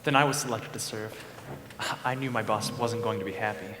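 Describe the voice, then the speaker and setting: A man's voice: fairly low pitch, fairly even intonation, fairly quick, lightly acted. A man playing a weary, practical working father on a jury in a stage play. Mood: grumbling. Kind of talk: live stage play acting. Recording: noisy echoey hall stage recording.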